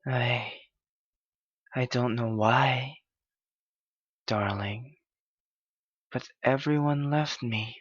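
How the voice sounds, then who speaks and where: A man playing a clingy, heartbroken young yandere lover in an intimate ASMR roleplay, halting and pleading in his anguish. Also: mid-range voice, steady tone, slightly rough, no room sound, dull audio. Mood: wounded, pleading.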